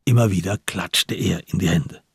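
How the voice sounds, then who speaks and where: A man narrating a crime radio play as a grave storyteller, in scripted literary prose with a husky voice. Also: low-pitched, moderate pace, dry recording, clean studio sound, full character voice. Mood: tense.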